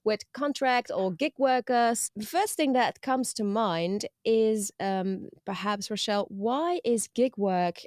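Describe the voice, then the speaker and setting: A woman's voice: a little high, takes it slowly, hardly any pauses, darkish tone, no room sound, clean studio sound. A woman speaking as a podcast host, presenting and asking interview questions. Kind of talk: scripted intro and interview questions.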